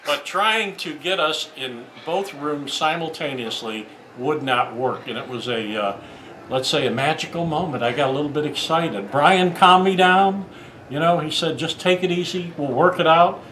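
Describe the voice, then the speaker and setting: A man's voice: on the high side, moderate pace, low-quality recording. A man talking as a playful, folksy magician in casual showman chat. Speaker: magician, guest. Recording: loud room noise behind.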